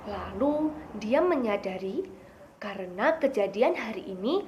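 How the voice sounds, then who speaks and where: A young woman telling a children's fable in an expressive storytelling style. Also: mid-range voice, unhurried, a little hiss or hum.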